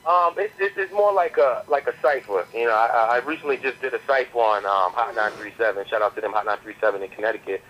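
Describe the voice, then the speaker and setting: Fast-talking male rapper on a noisy phone line. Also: mid-range voice, fairly bright tone. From the southern United States. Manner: slangy, repeats words as he builds a point. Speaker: interview guest, indie rapper. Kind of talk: casual phone interview talk. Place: on a landline phone call. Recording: noisy landline phone call.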